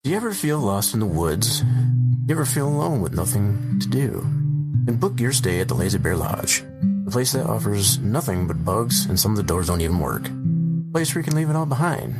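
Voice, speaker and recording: A man playing a folksy pitchman reading a parody radio commercial for a lodge. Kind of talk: parody radio advertisement read. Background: loud guitar music bed.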